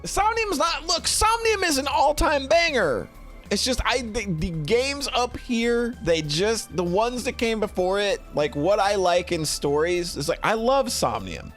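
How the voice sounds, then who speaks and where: A man's voice: high voice, very animated, measured pace, a bit bright, faint music behind. A middle-aged man chatting casually to a livestream, rambling playfully and often repeating himself. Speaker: streamer presenting a tier list. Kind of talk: casual livestream chat and commentary.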